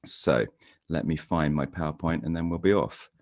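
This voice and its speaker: A man with a hoarse, croaky voice from a cold, giving an academic seminar talk over a video call, a little hesitant. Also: extremely low pitch, normal speed, a little mellow. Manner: hesitant, repeats words, self-deprecating. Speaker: guest lecturer.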